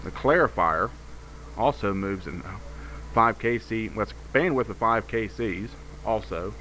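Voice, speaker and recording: Low-voiced man over clear background noise. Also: a little slow, pushed and bright. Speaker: amateur radio hobbyist. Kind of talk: informal hands-on equipment demonstration. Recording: noisy home video with radio hiss.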